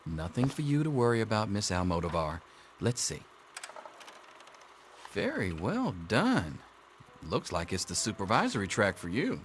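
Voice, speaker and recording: A man's voice: fairly low pitch, big pitch swings, measured pace, pauses often, a little hiss or hum. A man playing a wry, jaded middle-aged schoolteacher in a video game, with a sardonic, theatrical drawl. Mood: dry sarcasm. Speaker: teacher. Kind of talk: video game dialogue acting.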